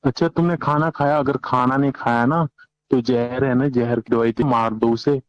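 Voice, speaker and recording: Man on a private call, mid pitch, dry and dull lo-fi recording. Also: fairly even intonation, moderate pace, dry recording. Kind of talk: casual phone conversation.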